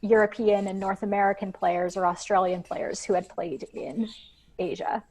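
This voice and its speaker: A woman's voice: mid-range voice, flat delivery, moderate pace, a little mellow, poor audio. A woman guest on a podcast interview, explaining her research in a thoughtful, conversational way with frequent fillers.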